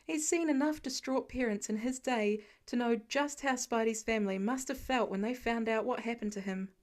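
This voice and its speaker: A woman's voice: a little high, moderate pace. A woman reading scripted fiction as an audiobook narrator.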